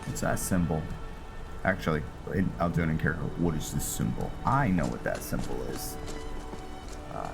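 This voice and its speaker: A man's voice: extremely low pitch, animated, moderate pace, creaky. A man casually commenting while playing a role-playing game, joking and thinking aloud. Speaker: gaming video host. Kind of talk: casual let's-play commentary. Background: game music.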